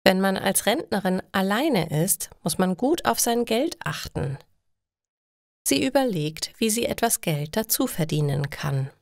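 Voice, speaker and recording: A woman reading scripted stories for language learners in short, deliberately separated sentences. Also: medium pitch, lively intonation, vocal fry, dry recording, studio quality. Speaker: narrator of German learning stories. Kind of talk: slow scripted story narration for language learners.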